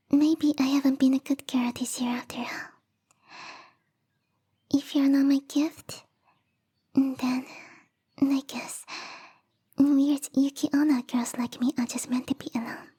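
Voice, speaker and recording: Female actor as bubbly snow maiden, high girlish voice, soft mellow whisper, sweet, pleading, lonely, ASMR roleplay. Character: bubbly, lonely young female snow spirit longing for a husband.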